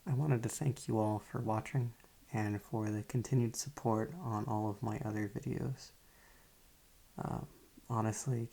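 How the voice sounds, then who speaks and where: A man who makes ASMR videos, giving a soft-spoken, casual update to his viewers in a low-key, hesitant way. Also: vocal fry.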